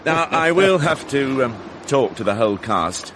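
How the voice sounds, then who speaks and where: A man's voice: mid-range voice, lively intonation, a bit bright, lightly acted. Radio drama role: male actor as British inspector, polite but persistent, dryly ironic, swooping intonation, faint noise behind. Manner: formal, measured, dryly ironic questioning. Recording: faint background noise on archive radio broadcast.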